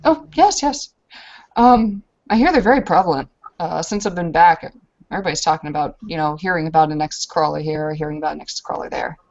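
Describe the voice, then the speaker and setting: A woman's voice: sits low, fast, darkish tone, dull audio. A woman voicing a self-deprecating female werewolf warrior who tells of her battles in a hesitant, rambling way. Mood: boastful storytelling. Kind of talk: in-character tabletop roleplay storytelling.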